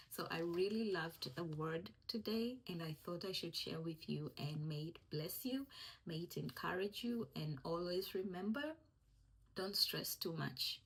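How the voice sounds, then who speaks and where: A young woman from East Africa with Swahili as her first language, sharing an uplifting faith-based message as a vlogger. Her voice is somewhat low and breathy. She speaks conversationally and circles back to her main points to reassure the listener. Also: lively intonation, unhurried, fairly bright tone, rough recording. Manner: encouraging, conversational, repeats key phrases. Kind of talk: faith-based motivational vlog talk.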